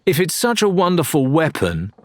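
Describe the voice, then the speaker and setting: A man's voice: on the high side, huge pitch swings, moderate pace, no echo, voice pushed up. A man voicing a brusque, impatient young female pirate in an audiobook, challenging and sarcastic. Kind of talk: dramatised audiobook character dialogue.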